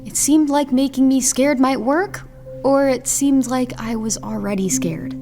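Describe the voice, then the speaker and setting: A woman's voice: sits high, measured pace, warm tone, faint music behind. An actress playing a guarded, defensive young mother in an audio drama, speaking insistently. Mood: challenging. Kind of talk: audio fiction acting.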